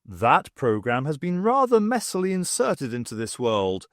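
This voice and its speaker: A haughty alien commander, acted by a male audiobook reader, issues cold, formal orders. The delivery is imperious and expressive, with a dry sound. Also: fairly high pitch, normal speed, no echo. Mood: coldly confident.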